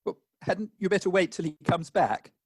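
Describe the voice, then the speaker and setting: A man's voice: high voice, lively intonation, normal speed, slightly rough, dry recording. A man playing a gloomy, anxious jilted bridegroom in a comic farce, plaintive and formal. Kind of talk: comic stage farce acting.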